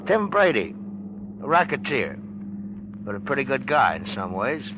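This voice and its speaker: A smug, slangy newspaperman out of an old radio crime play, needling in hard-boiled style. The voice is medium-pitched, on a dull, noisy vintage recording. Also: sing-song, a little slow. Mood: insinuating. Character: cocky, needling newspaperman, smug tough-talking man. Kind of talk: 1940s radio acting.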